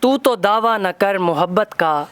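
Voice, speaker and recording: A man's voice: sits high, lively intonation, measured pace. A young man reciting poetry as a poet at a live gathering, declaiming his couplets and repeating lines for emphasis. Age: young adult. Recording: live event with loud crowd. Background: loud audience at a poetry gathering.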